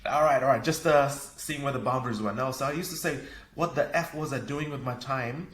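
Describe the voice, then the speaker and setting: A man from Fiji, a parenting coach and podcast guest, talking in an energetic, upbeat way. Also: medium pitch, fairly quick. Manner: energetic, upbeat, laughs easily. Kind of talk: casual conversation. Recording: echoey room.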